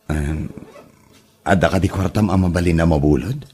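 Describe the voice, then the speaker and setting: A man's voice: low-pitched, moderate pace, darkish tone, slightly rough. An actor playing a jobless, sweet-talking young womanizer son who is evasive and short of money, in an Ilocano comedy drama. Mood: sheepish, pleading.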